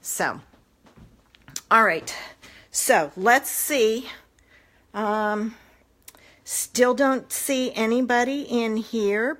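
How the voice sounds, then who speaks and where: Brief: rambling friendly crafter woman in her 50s, a bit hoarse, tablet mic in a home room. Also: mid-range voice, a bit bright. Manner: chatty, rambling, talks straight to viewers. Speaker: papercraft demonstrator. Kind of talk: casual live-stream craft chat. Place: home living room, indoors. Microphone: phone or tablet camera at arm's length.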